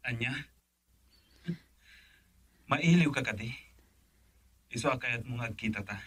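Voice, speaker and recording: A man's voice: mid-range voice, slow pace, a bit bright, breathy edge. An actor playing an eager, teasing man in radio drama dialogue.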